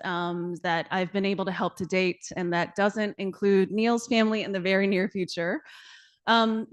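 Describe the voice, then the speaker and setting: A woman from China, likely in her thirties, answering interview questions about her startup in an earnest way with lots of fillers and light laughs. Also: mid-range voice. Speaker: startup founder.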